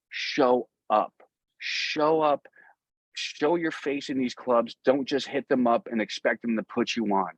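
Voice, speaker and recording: A man's voice: mid-range voice, dry recording, highs cut off. A man chatting casually as a musician being interviewed, in an earnest, rambling, slangy way.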